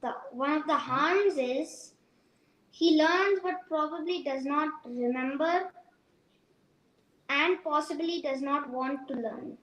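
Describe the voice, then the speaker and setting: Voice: sits high, takes it slowly, a bit bright. A young child giving careful, simple answers as a learner guest, recorded in an echoey room.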